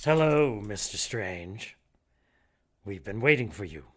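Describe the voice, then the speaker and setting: An actor playing a sinister, gloating monk with a theatrical, drawn-out delivery in an audio drama. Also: male voice, lowish voice, animated, slight background noise.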